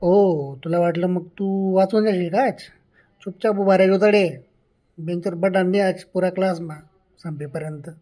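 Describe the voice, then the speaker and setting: A man's voice: high-pitched, steady tone, moderate pace, warm tone. A man playing a stern, sarcastic village schoolmaster in a comedy cartoon, with a Khandeshi Ahirani accent. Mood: sarcastic scolding. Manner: sarcastic, scolding, bossy.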